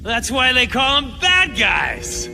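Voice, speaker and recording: A cornered video game villain, a tough-talking gang boss who blusters and trips over his words. The voice is very high and piercingly bright, with a gravelly creak. It rings in a long hall reverb. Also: wildly swooping pitch, takes it slowly, faint music behind, heavily acted. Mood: grim warning. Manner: blustering, stammering when pressed. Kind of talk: video game cutscene acting.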